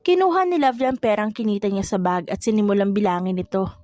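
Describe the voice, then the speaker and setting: A woman's voice: medium pitch, sing-song, quick pace, darkish tone, no echo. A woman reading scripted romance fiction as an audiobook narrator. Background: music.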